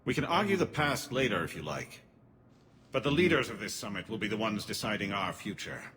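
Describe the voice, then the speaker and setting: A lowish male voice with long reverb plays an alien councilor in a video game. He is formal, measured and pragmatic, choosing words like a careful diplomat. Faint ambience sits behind him. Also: expressive, a bit bright, a little hiss or hum. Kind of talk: dramatic video game acting.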